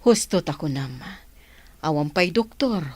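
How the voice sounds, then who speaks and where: Woman playing a lively, friendly doctor. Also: low-pitched, measured pace, dry recording. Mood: reflective. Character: friendly, teasing, philosophical female doctor. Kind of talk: radio drama acting.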